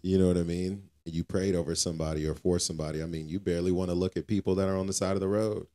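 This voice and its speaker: A man's voice: sits low, fairly even intonation, rapid-fire, mellow. A man co-hosting a casual faith podcast, talking in an informal, colloquial and earnestly preachy way. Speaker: podcast co-host.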